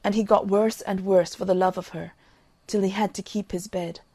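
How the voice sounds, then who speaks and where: Woman storyteller with a lightly creaky voice. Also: medium pitch, fairly even intonation, normal speed, dark tone, no room sound. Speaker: storyteller reading an audiobook. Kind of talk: scripted fairy tale narration.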